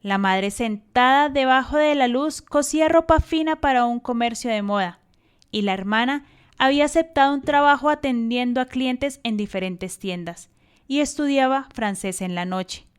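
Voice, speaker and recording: A woman's voice: high-pitched, normal speed, clear, resonant tone. A woman narrating a radio drama in a scripted storytelling style.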